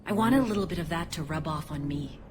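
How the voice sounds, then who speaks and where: Low-voiced alien militia leader. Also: female voice, expressive, noisy background. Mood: reflective. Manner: earnest, measured, articulate. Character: principled, earnest female alien militia leader, former soldier. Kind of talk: video game cutscene acting.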